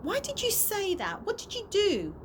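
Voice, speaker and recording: A woman's voice: extremely high pitch, wildly swooping pitch, moderate pace, voice pushed up, exaggerated voice. A woman voicing a headstrong, eager twelve-year-old girl with an insistent, emphatic manner. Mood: hurt, angry. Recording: noisy amateur home recording.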